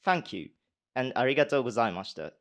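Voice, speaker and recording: A man's voice: medium pitch, sing-song, quick pace, background noise. A Japanese university student in his twenties with a posh British accent, giving a speech and then answering questions hesitantly in a conference hall. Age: 20s. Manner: hesitant with many fillers when answering.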